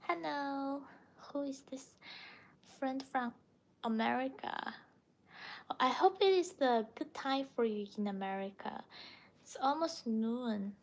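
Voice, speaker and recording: A woman's voice: high voice, lively intonation, slow, pauses often, rough recording. A young woman from China, a native Mandarin speaker with a Chinese accent, chatting casually as a livestream host and replying to viewers. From Chongqing, China. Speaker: livestream host, language teacher.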